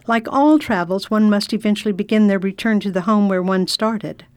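A woman's voice: medium pitch, normal speed, clean tone, no echo, natural voice. A woman playing and narrating as a proper, curious English lady traveler in an amateur radio drama. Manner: prim, refined and inquisitive. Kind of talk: first-person narration and radio drama acting.

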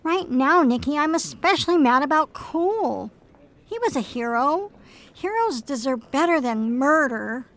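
A woman playing a gentle, earnest female android detective partner in a radio drama, speaking in a measured way. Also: high-pitched, lively intonation, full character voice.

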